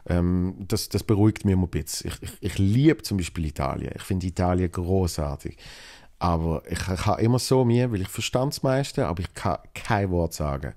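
A young man with a Basel Swiss German accent hosts his own solo podcast, his voice sitting deep and low. He wanders through his thoughts with plenty of fillers, false starts and repeated words. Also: expressive, normal speed, mellow. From Switzerland. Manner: rambling, many fillers and restarts. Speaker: podcast host. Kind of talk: solo podcast, reading listener mail.

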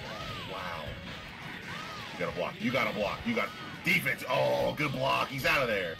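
A man giving excited, casual play-by-play commentary on a fighting game match, often shouting. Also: mid-range voice, normal speed, creaky. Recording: game music loud behind. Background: loud video game music and sound effects.

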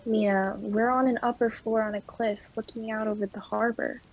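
A woman's voice: on the high side, flat delivery, moderate pace, heavy background noise, natural voice. A woman playing a mild, reassuring and sceptical young man in a radio play.

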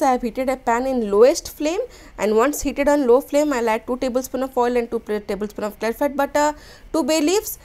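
A woman, hosting a cooking channel and explaining a recipe step by step in a gentle, instructional voice-over. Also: sits high. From India.

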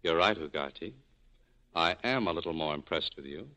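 A cynical American saloon keeper in an old radio drama. His voice is low and his delivery terse and dry, giving little away. The recording is a 1940s broadcast with music behind it. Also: expressive, normal speed. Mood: guarded, dry. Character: cynical, guarded American cafe owner, man.

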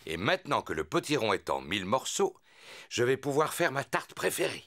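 A man's voice: medium pitch, sing-song, fairly quick, fairly bright tone, faint music behind. A man voicing a jovial, reassuring big daddy pig in a cartoon dub, given to jolly exclamations.

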